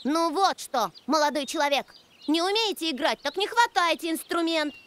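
A woman's voice: very high voice, lively intonation, normal speed, noisy background. A woman voicing a haughty, theatrical cartoon trumpet lady with a hot temper that soon cools. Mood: indignant. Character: haughty female trumpet, hot-tempered but quick to forgive. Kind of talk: animated cartoon character acting. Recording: faint music behind.

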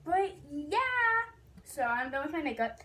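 A woman's voice: sits high, lively intonation, a little slow. A young woman with an American accent chatting casually to viewers as a vlogger. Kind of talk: casual vlog chat to viewers. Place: bedroom, kitchen, car and outdoors at night. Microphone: phone or device held at arm's length.

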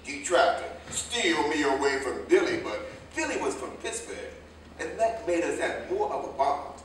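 A man's voice: fairly high pitch, lively intonation, moderate pace. A man in a staged play reading playing an older American tailor turned driver, a proud, hard-drinking, folksy storyteller who rambles. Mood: fond, amused. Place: indoor theater stage, recorded from afar. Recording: echoey stage, distant mic, faint noise.

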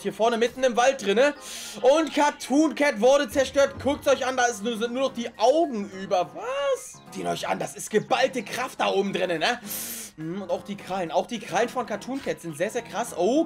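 A gaming video host giving excited gameplay commentary, exclaiming often and talking directly to the viewers. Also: mid-range voice, moderate pace, gravelly, faint music behind.

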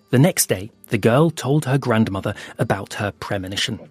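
A man narrating an audio fable in a slow, hushed storytelling manner with dramatic pauses. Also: lowish voice, expressive, husky, dry recording. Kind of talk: scripted audio fiction narration. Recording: soft music underneath.

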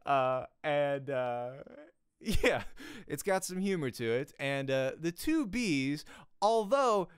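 Animated man teaching, clear and dry. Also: on the high side, slow pace, no room sound. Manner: animated, chatty, repeats questions for emphasis. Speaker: acting teacher and voice actor. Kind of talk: online voice acting lesson, casual and conversational. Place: small home room, indoors. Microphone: close studio microphone with pop filter.

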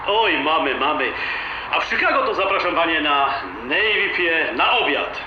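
A man's voice: a little high, expressive, moderate pace, natural voice. An actor playing an outgoing, flirtatious middle-aged businessman in a radio drama, jovial and familiar. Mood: jovial, playful. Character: outgoing, flirtatious businessman from Chicago, warm host. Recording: dull, roomy, faintly noisy radio-like sound.

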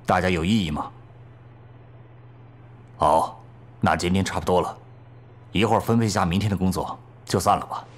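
A man playing a middle-aged police bureau chief, measured, deliberate and authoritative, in an audio drama. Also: deep voice, slight background noise, close to an everyday voice. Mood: measured, commanding. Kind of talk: Chinese audio drama acting.

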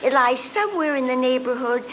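An older actress voicing a chatty, eager kid sister in a live old-time radio drama reading. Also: female voice, high-pitched, expressive, fairly quick. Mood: rambling. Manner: bright, rambling, eager. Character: Patsy, chatty kid sister with math talk. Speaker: actress in a live reader's theatre. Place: hotel ballroom stage. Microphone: far camera. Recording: audience video in a ballroom, hum.

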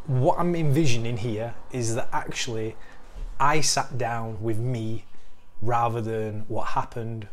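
A young man with a Northern English accent talks candidly and self-deprecatingly about gym training, explaining as he goes. His pitch sits mid-range for a man. He is recorded close on a lapel mic in a living room, with faint background noise. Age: young adult. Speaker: fitness coach, vlogger. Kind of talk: casual talk to camera.

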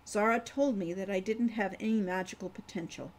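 A woman's voice: medium pitch, fairly quick, close to an everyday voice. A woman voicing a serious, reserved young man who speaks in clipped, restrained lines. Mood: stiff.

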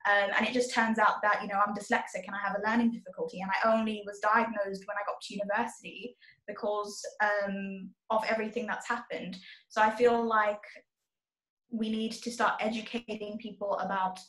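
A woman's voice: mid-range voice, flat delivery, a little fast, a bit bright. Young woman from zimbabwe, panel on a video call, thoughtful, lots of restarts, roomy sound. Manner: earnest, thoughtful, many restarts.

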